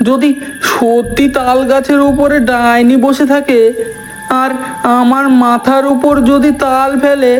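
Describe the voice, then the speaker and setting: Timid cartoon husband, male actor: very high pitch, nervous and placating. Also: poor audio. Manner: nervous, placating, talks himself into courage.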